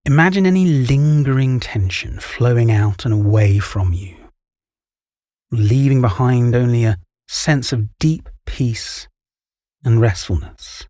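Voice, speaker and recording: Slow, clear-voiced man guiding meditation. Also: medium pitch, no room sound, clean studio sound. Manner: calm, soothing, measured instruction. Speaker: meditation guide. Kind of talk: guided relaxation meditation, scripted narration.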